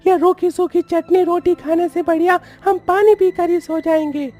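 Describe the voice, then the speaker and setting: A woman voicing a petulant, complaining poor young boy in an animated story. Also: extremely high pitch, moderate pace, soft background music. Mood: angry, whining. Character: angry, whiny poor young boy Bunty. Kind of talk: animated moral story dialogue.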